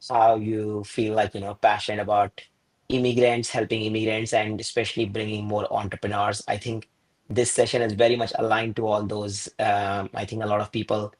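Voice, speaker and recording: Flat, low-voiced male host. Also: poor audio. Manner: casual, hesitant, many fillers. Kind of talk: webinar interview.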